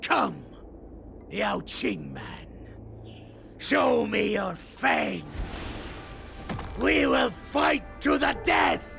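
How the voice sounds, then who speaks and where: An actor voicing a zealous wolf-man warrior with a rough gravelly voice that moves from whispers to shouts. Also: sits high, wildly swooping pitch, very slow, long pauses, faint music behind, full character voice. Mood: fanatical.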